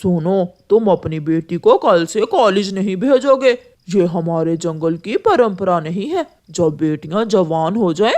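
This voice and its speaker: A woman voicing a stern, bossy male bird who lays down the rules in a commanding, deliberate way in a cartoon. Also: lowish voice, animated, a little mellow.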